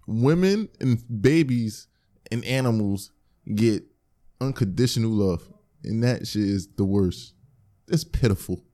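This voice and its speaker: A young man co-hosting a casual chat, talking loudly and profanely with lots of repeats and hype. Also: lowish voice, a little slow. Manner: loud, profane, animated storytelling. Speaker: podcast co-host.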